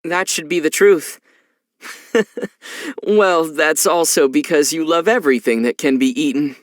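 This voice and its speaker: An actor playing a young male traveler hero in a video game, measured and reflective, calm with a gently teasing streak. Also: on the high side, no echo, clean studio sound, close to an everyday voice. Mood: dryly playful.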